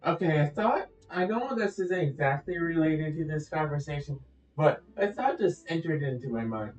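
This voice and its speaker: A man's voice: a little high, expressive, moderate pace, recorded from a distance. A man giving casual let's-play commentary, rambling and thinking aloud as he plays. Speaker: game streamer playing through a story quest. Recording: echoey, dull audio with music. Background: game music.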